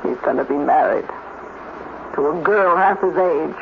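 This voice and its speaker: A woman's voice: low voice, unhurried, gravelly. A woman playing a proud, bitter robot scientist, intense and sardonic, in a 1950s radio drama. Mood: bitter, wounded. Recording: tinny, very noisy 1950s radio recording.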